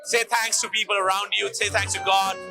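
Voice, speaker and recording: Brief: a man as earnest exec, quick and bright, high-pitched, music under. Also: flat delivery. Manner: earnest, hesitant, many "you know"s and restarts. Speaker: company executive. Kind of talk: casual interview answers.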